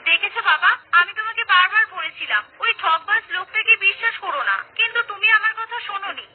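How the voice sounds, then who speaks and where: A woman voicing a clever young village girl who speaks to her father in a reproachful way. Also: very high voice. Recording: tinny phone-like sound.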